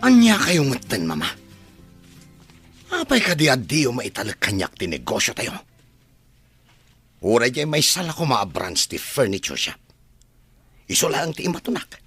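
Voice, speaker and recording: A man playing a resentful, scheming adopted son in an Ilocano radio drama, with a raspy, forceful, drawling delivery. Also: mid-range voice, huge pitch swings, moderate pace, long pauses, no room sound, faint music behind, heavily acted. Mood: resentful, demanding.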